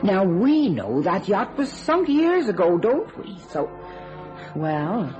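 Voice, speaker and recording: A woman's voice: medium pitch, big pitch swings, slow, a little mellow, muffled recording, soft background music. An actress playing a concerned, chatty local woman in an old-time radio thriller. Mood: concerned, puzzled.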